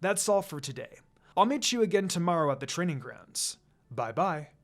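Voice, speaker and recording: Woman reading as a chill ninja sensei guy, offhand, storybook reading, lively pitch. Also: normal speed. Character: laid-back adult male ninja teacher. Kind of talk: fanfic reading.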